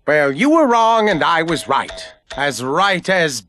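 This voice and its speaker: An actor playing a boastful, insecure inventor villain in a cartoon game, whiny and petulant. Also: male voice, on the high side, very animated, slow, bright, forceful tone, full character voice. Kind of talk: animated video game cutscene acting.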